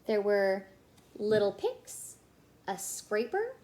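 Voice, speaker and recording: A woman reading a children's story aloud in an expressive, animated way, pausing for emphasis. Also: mid-range voice.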